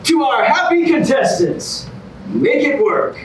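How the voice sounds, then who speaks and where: Actor as breezy smug male host; high, unhurried, echoey stage with crowd. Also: animated, heavily acted. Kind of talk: staged musical comedy reading. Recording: echoey stage, distant mic, audience noise.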